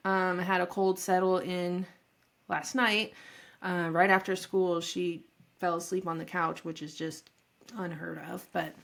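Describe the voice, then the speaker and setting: A woman's voice: mid-range voice, a bit bright. A middle-aged woman with a head cold, rambling shyly through the intro of her home vlog, with many fillers and asides, recorded on her own microphone in her home office. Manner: shy and nervous. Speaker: vlogger introducing her channel. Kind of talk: casual talk to camera.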